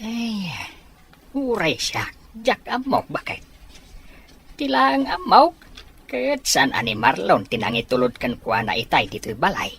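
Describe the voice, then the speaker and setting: A woman's voice: fairly low pitch, sing-song, measured pace, long pauses, a bit bright, slightly rough, full character voice. A woman playing an inquisitive, fretful elderly father in an audio drama, full of questions and exclamations. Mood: puzzled, concerned.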